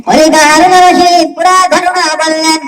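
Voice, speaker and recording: A woman's voice: extremely high pitch, little pitch movement, moderate pace. A woman preacher telling a religious story as a sermon, dramatically and with repeated phrases for emphasis. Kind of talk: sermon storytelling with acted dialogue. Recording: echoey, distant mic, music behind.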